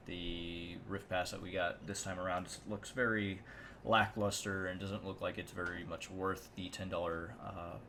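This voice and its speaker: A man's voice: low voice, fairly bright tone, slight background noise. A man hosting a video game channel, rambling offhand through casual reaction commentary.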